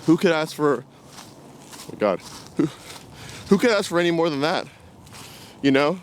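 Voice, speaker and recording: A man's voice: on the high side, expressive, moderate pace, slight background noise. A man in his twenties talking casually and reflectively to camera, rambling and hesitating as he walks outdoors. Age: 20s. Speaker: vlogger. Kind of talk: casual unscripted talk to camera. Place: outdoors, walking in woods. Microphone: camera carried while walking.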